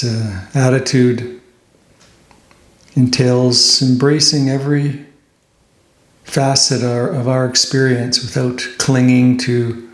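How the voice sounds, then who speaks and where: Slow, even-toned man guiding meditation. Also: medium pitch, echoey room. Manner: gentle, unhurried instruction with pauses. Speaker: meditation teacher. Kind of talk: guided meditation.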